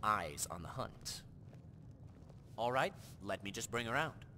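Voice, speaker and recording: A self-assured, theatrical jack-of-all-trades adventurer, played by a man, talks in fantasy game dialogue. The delivery is very animated, with long reverb and faint noise around it. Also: lowish voice, unhurried, full character voice.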